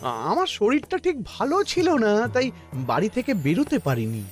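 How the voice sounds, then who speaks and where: A man's voice: sits high, huge pitch swings, brisk, soft background music. A man playing a shifty, self-pitying royal minister in a cartoon, whining and blustering. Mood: nervous. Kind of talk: Bengali cartoon dubbing.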